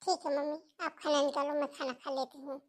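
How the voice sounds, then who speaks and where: A woman's voice: sits high, steady tone, brisk, close to an everyday voice. A woman voicing a stubborn, complaining young married daughter in a cartoon family drama, with an aggrieved manner. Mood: meek.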